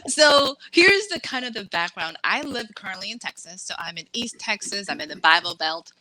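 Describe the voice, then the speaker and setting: Bright, lively woman author on a video call. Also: mid-range voice, moderate pace, low-quality recording. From Massachusetts, USA. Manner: lively, laughs, acts out quoted voices. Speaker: author guest. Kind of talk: casual interview chat.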